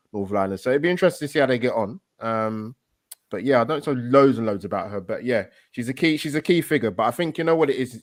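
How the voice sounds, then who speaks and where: A man co-hosting a casual stream chat, talking in a hurried, hesitant way. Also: fairly low pitch, dry recording. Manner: rapid, hesitant, lots of fillers and restarts. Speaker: co-host.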